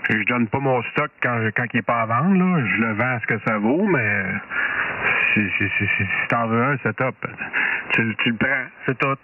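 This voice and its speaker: A man's voice: medium pitch, normal speed, a little hiss or hum. Quebec man on a tinny ham radio. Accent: Quebec French. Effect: radio transmission. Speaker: amateur radio operator. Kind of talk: casual technical chat over ham radio. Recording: tinny narrow-band ham radio transmission.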